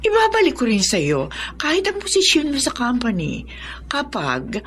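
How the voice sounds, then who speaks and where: A woman's voice: on the high side, huge pitch swings, normal speed. An actress playing a middle-aged, domineering, falsely sweet Filipino stepmother in a radio drama. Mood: condescending. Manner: falsely sweet, condescending, self-important. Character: scheming, domineering stepmother taking over the family company.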